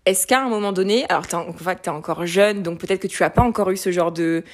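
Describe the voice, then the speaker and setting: A woman in her late twenties talking candidly in a casual conversation, lively, with many fillers and restarts. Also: fairly low pitch, quick pace. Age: late 20s.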